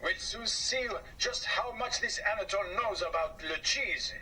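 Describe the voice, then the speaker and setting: A man's voice: sits high, unhurried, sharp tone, pitched above the performer's own voice, exaggerated voice. A man voicing a pompous middle-aged male factory boss who speaks grandly and formally and drops in French words, heard on a distant mic with faint background noise. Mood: commanding.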